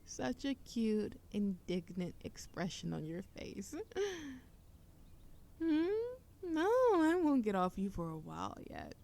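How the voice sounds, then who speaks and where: A woman's voice: medium pitch, big pitch swings, slow, darkish tone, full character voice. A woman playing a playful young witch smitten with a childhood friend, teasing and affectionate in an intimate romantic audio roleplay. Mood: playful, bossy. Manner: teasing, affectionate, breathy laughs and whispers.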